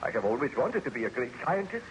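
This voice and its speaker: An actor playing a proud, obsessed young scientist in a formal, theatrical old-time radio drama style, on a noisy recording. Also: male voice, medium pitch, very animated, fast talker, close to an everyday voice. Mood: fervent.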